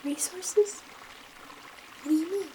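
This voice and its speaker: A woman playing a gentle, curious alien scientist in an ASMR sci-fi roleplay, speaking in a soft, breathy whisper with a hesitant, soothing manner. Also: extremely high pitch, slow pace, slight background noise, poor audio. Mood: confused, hesitant.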